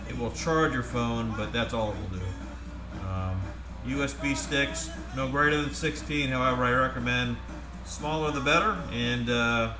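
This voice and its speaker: A man giving a casual, step-by-step product demonstration in a halting, plain-spoken way. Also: mid-range voice. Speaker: presenter demonstrating converted car radios. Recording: roomy echo, music playing from radio. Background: music from the demonstrated radio.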